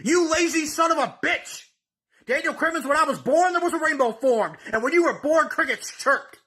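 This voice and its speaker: A man's voice: extremely high pitch, animated, moderate pace, pushed and bright. A man cutting a boastful, taunting wrestling-style promo for a podcast contest. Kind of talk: wrestling trash-talk promo.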